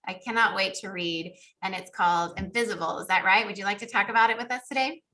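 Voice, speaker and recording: Bright-voiced effusive woman podcast host. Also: mid-range voice, fast talker. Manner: effusive, enthusiastic. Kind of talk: conversational interview hosting. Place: remote video call.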